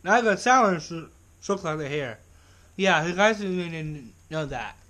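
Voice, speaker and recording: A young man vlogging from his living room, excitedly talking through a handheld bullhorn and often repeating and restarting his sentences. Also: fairly high pitch, moderate pace. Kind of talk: casual vlog to camera. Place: living room at home, indoors. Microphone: camera on tripod, talks through a handheld bullhorn.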